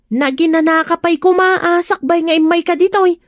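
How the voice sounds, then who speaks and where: A woman's voice: extremely high pitch, fairly even intonation, normal speed, no room sound, clean studio sound. A woman playing a caring, fretting woman who pleads and scolds, in an Ilocano audio drama. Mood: worried, insistent.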